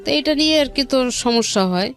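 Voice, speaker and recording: Middle-aged Bangladeshi woman, high voice, earnest interview guest recounting her life, repeating phrases, music underneath. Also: animated, a little fast. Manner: earnest, repeats phrases, acts out quoted speech. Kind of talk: personal storytelling in an interview. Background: background music.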